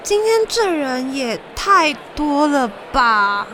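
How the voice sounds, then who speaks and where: A woman playing an excitable, chatty teenage idol fan in an audio drama. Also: high-pitched, takes it slowly, background noise, full character voice. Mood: resigned. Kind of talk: Chinese audio drama acting. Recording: music under the voice.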